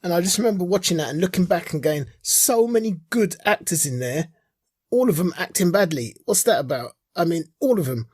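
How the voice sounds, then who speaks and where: A man's voice: high voice, lively intonation, brisk, darkish tone, breathy edge, dry recording, clean studio sound. A man co-hosting a podcast in casual chat, giving opinions informally and repeating phrases for emphasis. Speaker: podcast co-host.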